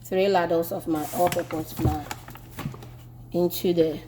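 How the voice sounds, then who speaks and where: A woman with a Ghanaian accent demonstrating a home recipe in her kitchen, relaxed and repeating herself as she works. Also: on the low side, unhurried, noisy background. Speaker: home cooking vlogger. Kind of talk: casual cooking demonstration.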